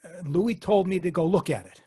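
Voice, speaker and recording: A man plays a frightened fisherman accused in a radio play. His voice is high and husky, earnest and stammering. The recording is very dry. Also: normal speed, warm tone, dry recording. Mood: anguished, earnest. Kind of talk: old-time radio drama acting.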